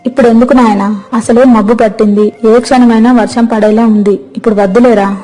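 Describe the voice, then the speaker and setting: Woman as a plaintive, weary village mother, mellow tone. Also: on the high side, fairly even intonation, fairly quick, soft background music, close to an everyday voice. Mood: worried. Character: weary, hungry, long-suffering mother of grown sons. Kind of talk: acted moral story dialogue.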